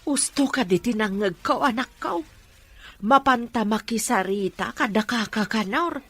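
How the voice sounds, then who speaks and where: A woman's voice: a little high, unhurried, a bit bright, faint music behind. A woman playing a disapproving, fretful older mother in an audio drama, with a nagging manner. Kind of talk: Ilocano audio drama acting.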